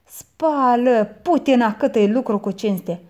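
A woman voicing a sharp-tongued middle-aged aunt who scolds with proverbs. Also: on the high side, lively intonation, close to an everyday voice. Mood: scolding.

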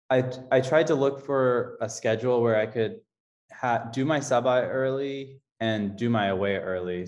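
A man's voice: lowish voice, darkish tone. A man from Texas, a new doctor, talking hesitantly with many fillers on a panel. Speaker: medical graduate panelist. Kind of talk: casual panel talk. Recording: dull lo-fi audio.

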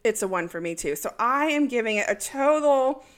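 A woman's voice: fairly high pitch, lively intonation, normal speed, a little hiss or hum. A woman from East Texas co-hosting a casual podcast, blunt and jokey, laughing often. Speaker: podcast co-host.

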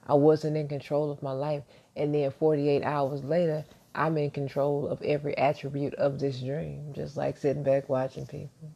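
A self-assured man talks on his podcast in a soft, deep voice with a gravelly edge. His tone is dark and mellow. He keeps it hushed and reflective, circling back with small restarts. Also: little pitch movement, moderate pace, hardly any pauses. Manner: speaking quietly so as not to wake neighbors. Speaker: podcast host. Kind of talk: casual motivational monologue. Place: at home, early morning.

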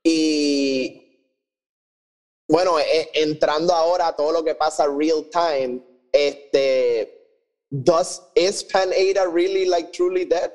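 A man's voice: a little high, slow pace, a little mellow, rough recording. A man with a Puerto Rican accent co-hosting a casual review chat, swearing freely and switching between Spanish and English. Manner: salty, swearing, mixes English phrases into Spanish. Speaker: podcast host.

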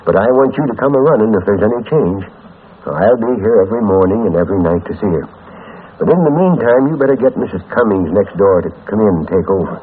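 A man playing a kindly, grave small-town doctor, measured and folksy, in a 1940s radio drama. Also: on the low side, lively intonation, husky. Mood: grave, gentle. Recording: tinny, noisy old radio recording.

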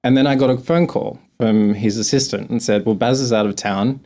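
A man's voice: mid-range voice, fairly quick, warm tone. A young man from Australia with a mild Australian accent, answering interview questions in a chatty way, with frequent laughs and restarts. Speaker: guest.

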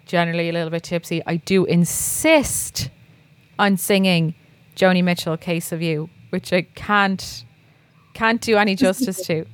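A woman's voice: fairly low pitch, dry recording. A young woman co-hosting a podcast, rambling playfully and mocking herself in a casual chat.

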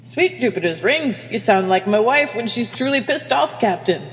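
A woman voicing a gruff, wry, cigar-smoking male chief engineer in a space story, speaking colloquially. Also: a little high, expressive, normal speed, big-room sound, voice pushed up, close to an everyday voice. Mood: amused.

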